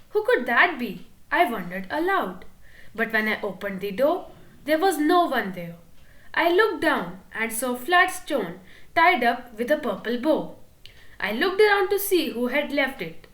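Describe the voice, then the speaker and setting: A woman reading a children's book aloud in an expressive, playful storytelling manner. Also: high voice, echoey room. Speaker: reader.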